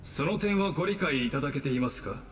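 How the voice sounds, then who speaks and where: A man playing a calm, coldly courteous mastermind in a game, speaking formally and with measured condescension. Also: fairly high pitch, in a large hall, close to an everyday voice. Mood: condescending. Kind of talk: Japanese anime-style game voice acting.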